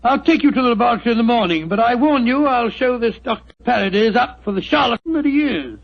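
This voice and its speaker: An actor playing a bluff, skeptical Victorian doctor in a 1940s radio drama, flustered and stammering, on a lo-fi old broadcast recording. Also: male voice, extremely high pitch, expressive, quick pace. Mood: blustery.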